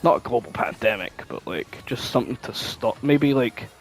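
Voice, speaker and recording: A man's voice: mid-range voice, normal speed, soft background music. A man co-hosting a podcast in casual chat, rambling and swearing freely as he spins out his thoughts. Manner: rambling, sweary, animated storytelling. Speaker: podcast co-host.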